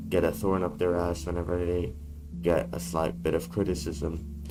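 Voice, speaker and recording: A man with a very low voice talks straight to camera, blunt and given to casual swearing. His voice sounds worn from being sick, and music plays clearly behind him. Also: poor audio. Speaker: YouTuber. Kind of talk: casual talking-head vlog. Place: dark room indoors.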